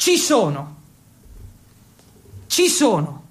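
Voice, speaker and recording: A man's voice: high-pitched, huge pitch swings, drawn-out words, full character voice. An actor playing a reckless teenage boy, telling his story as a theatrical first-person radio monologue in short, repeated, breathless phrases. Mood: dazed, hungover. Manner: breathless, repetitive, builds intensity in short phrases. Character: reckless teenage exchange boy recounting his wild nights. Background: music.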